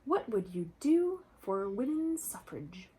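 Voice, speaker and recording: A woman's voice: mid-range voice, sing-song, slow, a little mellow. A woman reading a picture book aloud to children expressively, breaking off to explain things directly to young listeners. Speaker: children's book reader and presenter.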